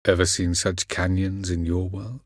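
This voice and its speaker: An actor playing an ancient, aloof elven sage in a video game, speaking in a measured, formal and condescending way. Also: male voice, sits low, level tone, no echo, clean studio sound, close to an everyday voice. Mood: cold, scornful. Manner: measured, formal, haughty delivery. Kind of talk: scripted video game dialogue.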